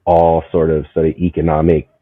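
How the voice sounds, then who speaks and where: Guest: man, 30s, from Maryland, rambling storyteller, low voice, phone-quality audio, dry. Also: fairly quick, dry recording. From Maryland, USA. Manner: animated, rambling, full of "you know what I'm saying". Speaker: guest, community market founder. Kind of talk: casual storytelling conversation.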